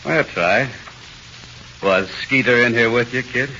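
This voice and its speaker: An actor playing a hard-boiled, mocking, cruel jailer with a taunting drawl in 1940s radio drama. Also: male voice, mid-range voice, a little slow, pushed and bright. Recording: muffled, hissy 1940s radio recording.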